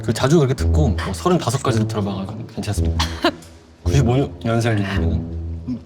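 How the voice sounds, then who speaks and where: A man's voice: fairly low pitch, fairly quick. A man in his twenties on a blind date show, talking in a chatty, easygoing way. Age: 20s. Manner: talkative and easygoing, laughs often. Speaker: dating show guest, writing teacher. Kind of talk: casual dating chat. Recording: loud music bed under the talk.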